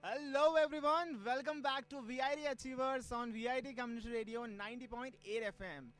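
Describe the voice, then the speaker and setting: High-voiced young radio host. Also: male voice, fairly even intonation, a little fast, fairly bright tone, faint music behind. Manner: upbeat announcer greeting, then casual questions. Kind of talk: campus radio interview.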